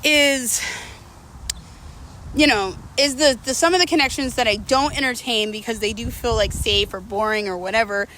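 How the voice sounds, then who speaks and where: A woman's voice: a little high, moderate pace, bright, forceful tone, slight background noise. A woman talking casually and candidly to viewers as a vlogger while walking, slightly out of breath, with self-mocking asides and rhetorical questions. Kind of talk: casual confessional chat to viewers.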